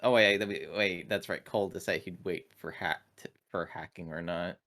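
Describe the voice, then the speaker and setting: A man chatting casually as a player in a tabletop roleplaying game, explaining things in a halting way. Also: deep voice, fairly even intonation, creaky, bright, forceful tone.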